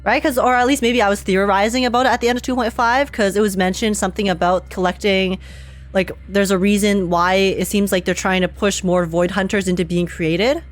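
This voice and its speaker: A woman giving casual live reaction commentary on a game, giggly and rambling as she thinks out loud. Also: mid-range voice, steady tone, a little fast. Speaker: streamer reacting to a game story.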